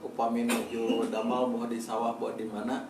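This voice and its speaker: A man's voice: medium pitch, completely flat delivery, normal speed, heavy background noise. A man with a West Javanese accent adding remarks in a casual group chat. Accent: Sundanese-speaking West Java. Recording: echoey, far from mic, loud music behind.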